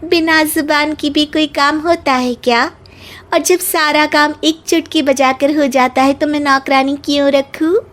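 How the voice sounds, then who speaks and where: A woman's voice: high-pitched, fairly even intonation, unhurried, a bit bright. A woman playing a cheeky young witch who poses as a bride in a comic audio story, talking back theatrically. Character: sassy young female witch posing as a new bride. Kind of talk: Hindi comic story acting.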